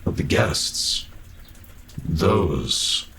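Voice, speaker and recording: A deep, slow-spoken cyborg guardian of great age, acted by a man, gentle and awkwardly kind. He picks his words with halting formality in an audio drama, over clearly audible noise. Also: sing-song, heavily acted. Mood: storytelling. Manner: halting, careful, softly formal. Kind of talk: fan audio drama acting.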